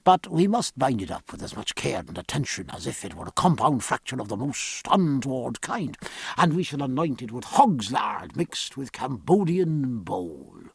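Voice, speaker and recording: Guy doing a bookish old-time ship's doctor, dry and earnest, formal wording, husky creaky voice. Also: mid-range voice, big pitch swings, no echo, full character voice. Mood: grave, assured. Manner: measured, formal, pedantic. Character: learned, precise Irish ship's surgeon, drily earnest.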